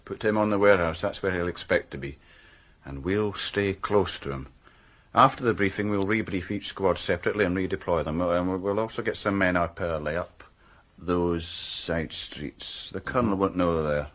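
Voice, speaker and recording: Low, husky, shrewd senior detective. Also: male voice, fairly bright tone. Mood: shrewd, assured. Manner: measured, assured, explanatory. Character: shrewd, confident male senior detective planning a trap. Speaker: actor in a radio crime drama. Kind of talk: naturalistic radio drama dialogue.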